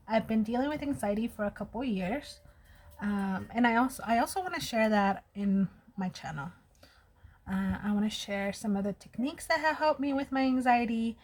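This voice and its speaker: A woman's voice: a little high, a little mellow. A woman in her thirties with a slight lisp, chatting casually and openly to her viewers while doing her makeup. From United States. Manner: chatty, open and personal. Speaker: vlogger.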